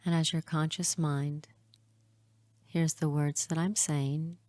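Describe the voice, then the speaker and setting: Female meditation guide: very unhurried, soft and relaxed tone, hushed, soothing, lingering pauses between phrases. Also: deep voice, dry recording. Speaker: hypnotherapist guiding a meditation.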